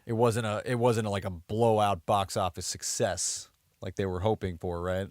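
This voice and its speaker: A man's voice: lowish voice, steady tone, normal speed, darkish tone, no echo, studio quality. A man co-hosting a podcast, talking casually about movies with loose, conversational phrasing. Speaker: podcast co-host.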